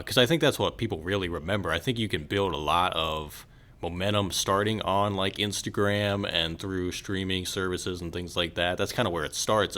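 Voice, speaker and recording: A man's voice: deep voice, bright, forceful tone. A man hosting a podcast, speaking in a casual, conversational way to a guest and listeners. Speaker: podcast host.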